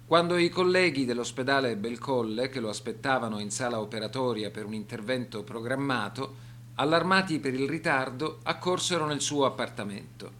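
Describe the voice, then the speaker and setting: A man's voice: medium pitch, fairly quick, a bit bright, a little hiss or hum. A man reading scripted documentary narration for a radio drama in a formal, factual, carefully phrased way.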